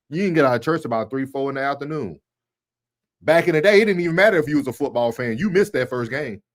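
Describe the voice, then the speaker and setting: A man with a mid-range voice co-hosts a livestream. He talks casually and in an animated way, speaking straight to his viewers as if making a case to them. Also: mid-range voice. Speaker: livestream co-host. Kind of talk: casual talk commentary on church.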